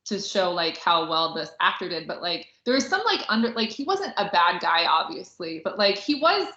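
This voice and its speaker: A woman with a bright, forward voice chats in a casual podcast style. She is informal and a bit hesitant, circling toward her point. The recording sounds roomy and echoey. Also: fairly low pitch, big pitch swings, normal speed. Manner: informal, hesitant, heavy use of "like". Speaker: podcast co-host reviewing a film. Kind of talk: casual conversational discussion. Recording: roomy, echoey, slightly dull lo-fi audio.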